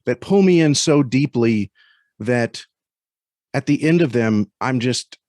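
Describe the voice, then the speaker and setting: A man's voice: medium pitch, expressive, slow pace, darkish tone, no echo. Man talking thoughtfully, dry sound. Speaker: interview guest. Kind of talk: thoughtful casual conversation.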